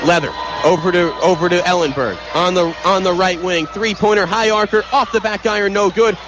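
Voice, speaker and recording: A man's voice: sits high, steady tone, music in the background, rough recording. A man calling live basketball play-by-play on the radio in vivid, clipped phrases, from an indoor arena. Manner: vivid, clipped, rapid-fire calls. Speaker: play-by-play sportscaster. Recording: loud crowd noise behind, live arena broadcast. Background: loud crowd.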